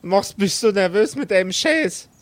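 A man acts out a flustered investigator for an improvised comedy audio play. The voice sits very high for a man. He stammers in agitation and keeps scolding his partner. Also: lively intonation, moderate pace. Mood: agitated, scolding.

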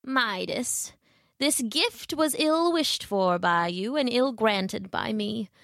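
A woman playing a stern, regretful male god in a story, speaking in a grave, formal, measured way. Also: on the high side, animated, a bit bright, no room sound, studio quality, full character voice. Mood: solemn.

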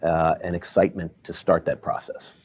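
Deep-voiced measured executive man. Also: level tone, breathy edge, no room sound. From Baltimore, Maryland. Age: middle-aged. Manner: measured, many fillers and restarts. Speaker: tech company CEO guest. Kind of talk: business interview answers.

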